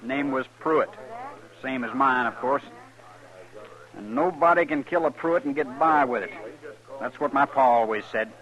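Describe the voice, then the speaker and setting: A man's voice: mid-range voice, measured pace, long pauses, a bit bright, close to an everyday voice. An actor playing a naive, stubborn, boyish young man in a 1950s radio western. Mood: defiant. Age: young adult. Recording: dull old radio recording.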